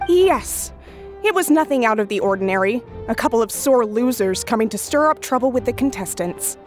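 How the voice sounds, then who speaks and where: A woman's voice: on the high side, expressive, moderate pace, soft background music, lightly acted. A woman playing a strict, officious tournament attendant in a game, speaking in a firm, formal, by-the-book way. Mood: matter-of-fact. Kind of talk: animated game cutscene dubbing.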